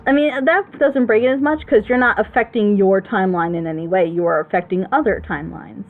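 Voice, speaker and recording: A woman's voice: mid-range voice, fairly quick, narrow, tinny audio. A woman giving casual critical commentary on storytelling as a panelist, emphatic and opinionated, explaining her points at length. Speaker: panelist on a reaction show.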